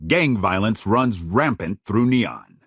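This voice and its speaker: Emphatic, lively space-news anchor. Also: male voice, on the low side, slow, breathy edge, no room sound, clean studio sound, heavily acted. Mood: grave, urgent. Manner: emphatic, dramatic newscaster phrasing. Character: polished, earnest male space-news network anchor. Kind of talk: scripted broadcast news delivery in a video game.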